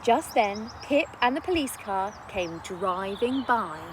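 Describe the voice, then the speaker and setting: A woman narrating a children's story in an expressive read-aloud style. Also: medium pitch, slow pace, noisy background. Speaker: storybook reader.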